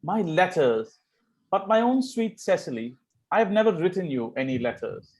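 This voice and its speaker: A man reading the part of a smitten, ardent young Victorian gentleman in an amateur table read of a stage comedy, wooing earnestly. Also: sits high, sing-song, normal speed. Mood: astonished, pleading.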